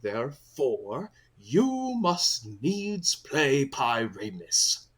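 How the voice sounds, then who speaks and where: A man's voice: mid-range voice, very animated, very slow, a bit bright, husky, exaggerated voice. A man playing a fussy, coaxing workman who directs amateur players, earnest and persuasive, in a comic Shakespearean audio play. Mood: flattering.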